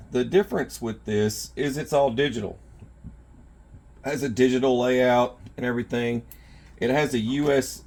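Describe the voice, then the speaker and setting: A man hosting a gear video, talking to viewers in an informal, rambling, folksy way with a rough, husky voice. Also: medium pitch, a little mellow. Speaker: survival gear video host. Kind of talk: casual product showcase talk to viewers. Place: indoors in a house.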